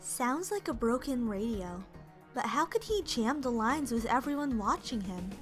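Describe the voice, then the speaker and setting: An anxious, eager young assistant acted by a woman in an audio drama. Her voice is high and bouncy in pitch, with youthful urgency and soft music behind it. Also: expressive, moderate pace. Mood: puzzled.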